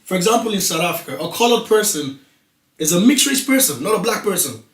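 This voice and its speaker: An assertive man holding his ground in a lively group discussion. His voice sits a little higher than most men's. He sounds set back from the mic in a roomy, echoey space. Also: fast talker. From Nigeria. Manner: assertive, talks over others to make his point. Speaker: talk show guest. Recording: roomy studio, voice heard from a distance.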